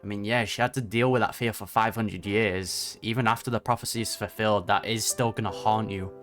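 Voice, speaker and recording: A man's voice: on the low side, fairly even intonation, a little fast, a bit bright, faint music behind. A man giving casual reaction commentary on a video game, conversational and sympathetic in manner. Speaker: gaming reaction streamer.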